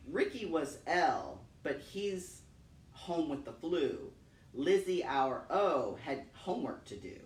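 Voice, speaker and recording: A man's voice: a little high, sing-song, slow pace. A man hosting a storytime, reading poems aloud and talking to children. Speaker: storytime host. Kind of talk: poetry reading and talk for children. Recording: roomy, distant mic, faint noise.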